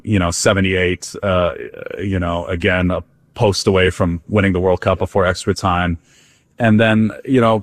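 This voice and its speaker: A man's voice: low voice, dry recording. A young man from the Netherlands, talking casually in an interview with many fillers and restarts. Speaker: interviewee, Dutch soccer fan.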